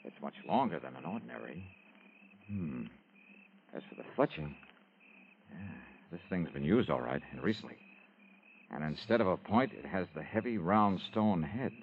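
An American actor playing a shrewd, smooth-talking private investigator in a vintage radio drama, wry and musing. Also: male voice, deep voice, animated, normal speed, long pauses, a little hiss or hum. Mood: hushed, puzzled. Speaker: lead actor and narrator. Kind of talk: 1950s-60s radio detective drama acting. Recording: dull vintage radio recording.

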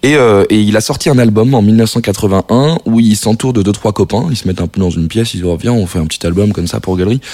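French guy, radio guest, low voice, talks fast and rambles, dry close sound. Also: warm tone, dry recording. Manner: enthusiastic, slangy, rambling. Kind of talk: casual radio chat. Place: radio studio.

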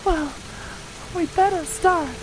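A man's voice: takes it slowly, noisy background. A man voicing a wary young woman in a comic audio drama, hesitant and prim as she fends off a stranger. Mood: prim, firm. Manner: high put-on feminine voice, hesitant and prim. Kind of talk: comic audio drama made from song lyrics.

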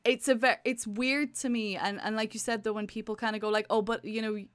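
Irish woman co-host, chatty and rambling, clear smooth tone, dry close mic. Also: fairly high pitch, steady tone, a little fast, a bit bright, dry recording. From Ireland. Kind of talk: casual chat.

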